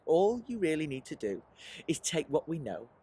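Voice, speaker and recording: A man leading a children's writing workshop in an animated, encouraging presentational style, often putting questions to his listeners. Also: fairly high pitch, normal speed, slightly rough. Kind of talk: presentational teaching aimed at children, with rhetorical questions.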